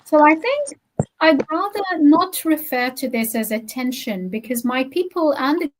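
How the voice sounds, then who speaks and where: A woman whose first language is Arabic, a poet speaking in an interview in a measured, earnest and emphatic way. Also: sits high, very animated, low-quality recording. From Gaza, Palestine. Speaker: poet, guest. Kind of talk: interview answer.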